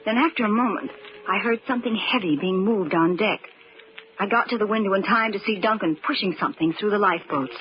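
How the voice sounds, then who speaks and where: An actress playing an anxious, protective young stepsister in an old-time radio mystery, earnest and a little bossy, hesitating when worried. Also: female voice, mid-range voice, a little fast, lightly acted. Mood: tense narration. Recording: muffled, noisy vintage radio recording.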